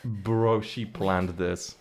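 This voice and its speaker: A man streams his reaction to a game cutscene. He talks slowly and sarcastically, with plenty of swearing. He laughs at what is on screen. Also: fairly low pitch, fairly even intonation. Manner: sarcastic, profane, laughs often. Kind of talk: casual reaction commentary.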